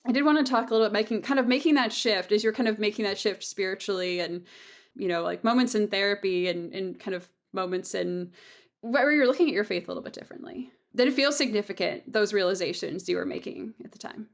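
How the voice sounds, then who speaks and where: A woman's voice: medium pitch, quick pace. A woman interviewing casually, circling tentatively toward her question with hedged phrasing.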